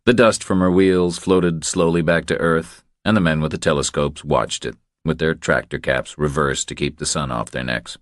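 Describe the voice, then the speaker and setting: Male narrator for thriller audiobook: extremely low voice, measured, deadpan, terse, very dry close sound. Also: dry recording.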